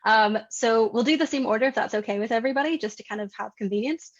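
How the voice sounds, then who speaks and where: A woman hosts a panel over a video call, her voice fairly high and her tone upbeat and encouraging. The audio comes through a little muffled and dull. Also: fast talker. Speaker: panel moderator. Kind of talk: hosting a webinar panel.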